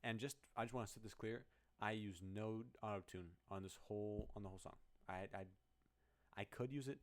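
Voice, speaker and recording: A man with a very low voice talks quickly and casually about his own song. He rambles, restarts his sentences and pokes fun at himself. The pitch stays low throughout. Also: steady tone. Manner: rambling, self-deprecating, many restarts. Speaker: musician explaining his song. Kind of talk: casual walkthrough of a project file.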